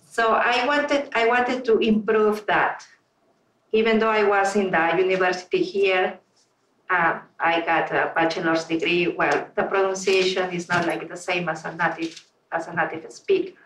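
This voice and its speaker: A woman's voice: mid-range voice, a bit bright. A middle-aged woman from Peru with a Spanish accent, speaking earnestly to a club meeting and searching for words. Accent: Peruvian Spanish. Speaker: club member. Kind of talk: informal speech to a club meeting. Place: meeting room. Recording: roomy sound from a distant mic.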